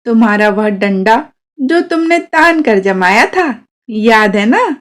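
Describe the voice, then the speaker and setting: A woman's voice: fairly high pitch, expressive, slow. Woman as a nostalgic, earnest male officer. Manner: earnest, familiar, insistent. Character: nostalgic male officer reminiscing with a childhood friend.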